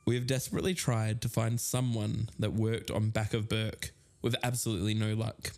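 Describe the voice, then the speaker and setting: A man with a slightly low voice co-hosts a podcast. He narrates stories and reads quotations aloud for the listeners. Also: flat delivery, normal speed, a little mellow. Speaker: podcast co-host. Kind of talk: podcast narration, reading quotes.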